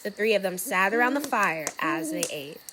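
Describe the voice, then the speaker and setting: A woman reading a children's book aloud as expressive, rhythmic, dramatic storybook narration. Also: mid-range voice, normal speed, noisy background. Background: music.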